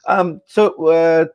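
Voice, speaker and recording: A man's voice: fairly high pitch, a little mellow, clear, resonant tone. A man from Pakistan asking an interview guest questions on a video call, hesitant and full of ums and uhs.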